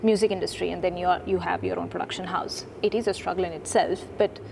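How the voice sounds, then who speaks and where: A woman hosting an interview, asking questions in a halting way with many fillers. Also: fairly low pitch, steady tone, creaky. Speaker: host. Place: studio. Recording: noisy studio background.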